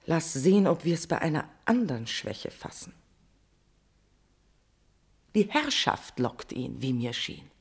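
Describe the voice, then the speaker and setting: An actress playing a guilt-ridden queen in a classical tragedy, declaiming verse with a husky voice, impassioned and swinging between hush and outcry. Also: low-pitched, sing-song, slow, long pauses, full character voice. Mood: urgent pleading. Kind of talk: declamatory classical German stage verse acting.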